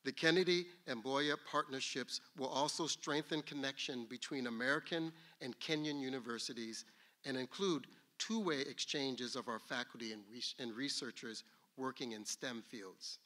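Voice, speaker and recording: A man reading a formal prepared announcement as a government official, in a measured tone. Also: medium pitch, bright, forceful tone.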